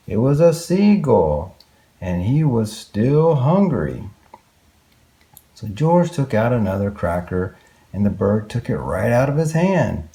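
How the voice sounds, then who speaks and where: Male read-aloud storyteller for kids: mellow, creaky low voice, very animated delivery with questioning asides. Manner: expressive, exclamatory, asking questions of young listeners. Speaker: storyteller reading children's books aloud. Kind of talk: read-aloud picture book narration with asides.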